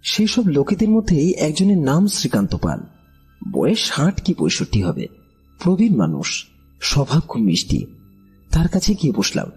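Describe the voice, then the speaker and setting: An actor playing a bewildered village trader in an audio horror drama, speaking in an anxious, hesitant, pleading way with a husky, breathy voice. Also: male voice, fairly high pitch, wildly swooping pitch, normal speed, a little mellow, full character voice. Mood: uneasy recounting. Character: frightened, bewildered village trader recounting his strange ordeal. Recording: background music under the voice.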